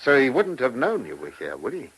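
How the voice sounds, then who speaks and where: An actor in a vintage radio play as a police chief inspector, questioning insistently and giving orders with authority. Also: male voice, mid-range voice, wildly swooping pitch, a little fast, a bit bright, poor audio. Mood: businesslike. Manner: insistent, probing questioning, commanding. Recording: old radio recording with faint noise.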